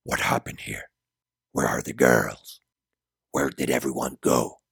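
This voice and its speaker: A man voicing an intense, desperate man out of his own time in an audiobook drama, speaking with low, forceful urgency. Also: fairly even intonation, unhurried, vocal fry, husky, no room sound, full character voice. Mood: angry bewilderment. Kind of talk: dramatic audiobook character dialogue.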